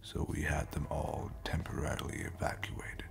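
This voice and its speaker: An actor playing an ancient, brooding, homesick spacefaring captain in an audio drama, speaking solemnly and deliberately in a gravelly, breathy near-whisper. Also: male voice, extremely low pitch, completely flat delivery, heavily acted. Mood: grave recounting. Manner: slow, solemn, deliberate storytelling. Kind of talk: scripted audio roleplay drama.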